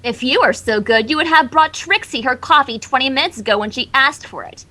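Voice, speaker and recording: A woman's voice: sits high, lively intonation, fairly quick, sharp tone. A woman voicing a boastful, scheming female cartoon pony magician who haughtily speaks of herself in the third person. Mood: haughty, demanding. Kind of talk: fan fiction character reading.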